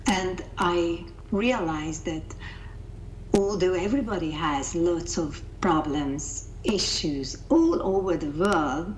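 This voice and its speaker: A woman's voice: fairly low pitch, takes it slowly, a little hiss or hum, low-quality recording. A middle-aged woman from Hungary with a Hungarian accent, giving thoughtful interview answers and often repeating words as she searches for phrasing. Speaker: interview guest, coaching founder.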